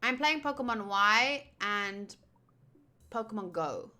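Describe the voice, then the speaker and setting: Bright-voiced chatty woman streamer. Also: mid-range voice, animated, slow pace. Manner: chatty, reacting aloud to game and viewers. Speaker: streamer playing a visual novel. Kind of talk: casual livestream chat mixed with reading game text aloud. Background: game music.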